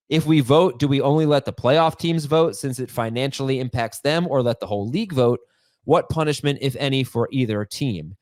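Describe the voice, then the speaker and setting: A man's voice: mid-range voice, dry recording. A man hosting a podcast with a nasal voice, chatting casually and reading listener emails out step by step with self-deprecating humor. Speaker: podcast host.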